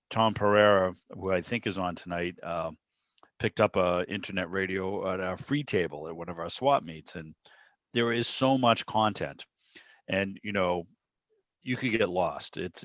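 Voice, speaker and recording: A man with a fairly low voice hosts an informal online meeting. He talks in a loose, digressive, conversational way. The call audio is dull and dry, with little room sound. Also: a bit bright, no echo. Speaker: club meeting host. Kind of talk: casual chat on a video meeting. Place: remote video call. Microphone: video call microphone.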